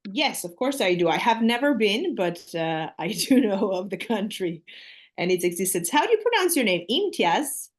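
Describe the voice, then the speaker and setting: Armenian-accented woman teaching english on a video call, friendly and chatty, mid-pitched voice. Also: big pitch swings, normal speed. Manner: friendly, chatty, explains at length with fillers. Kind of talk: casual video call conversation.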